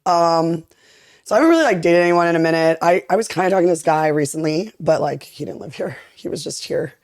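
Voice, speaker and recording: A young woman in her twenties with a deep voice, chatting candidly and rambling on a podcast, filling her talk with "like". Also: fast talker, darkish tone, no room sound, studio quality. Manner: candid, rambling, heavy use of "like". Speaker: interviewee. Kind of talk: casual podcast chat.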